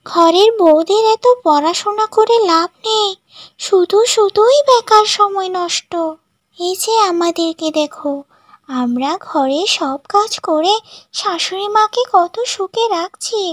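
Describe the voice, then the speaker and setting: A meddling young village housewife, voiced by a woman, talks in an extremely high, almost childlike pitch. She gossips and taunts in exaggerated cartoon style, recorded close and dry. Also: a little slow, no echo. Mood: taunting. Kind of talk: cartoon dialogue.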